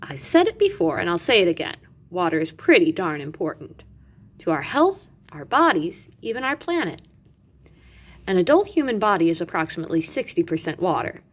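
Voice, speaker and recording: A woman reading educational material aloud as a teacher, with animated emphasis and carefully separated lists. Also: on the low side, a little fast, breathy edge. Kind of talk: expressive educational read-aloud.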